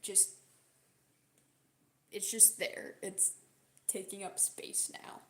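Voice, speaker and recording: A woman with a hoarse, strained voice that breaks up at times, chatting casually and jokingly with livestream viewers. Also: medium pitch, level tone, extremely slow. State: hoarse, sore strained voice from singing. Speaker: livestream host, singer. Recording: roomy echo, loud music behind. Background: loud background music.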